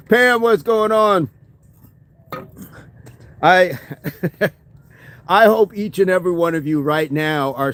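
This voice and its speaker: A man chats casually on a fitness livestream, answering viewer comments and thanking people by name. His speaking voice sits a bit high for a man. He laughs easily and keeps the talk loose and friendly.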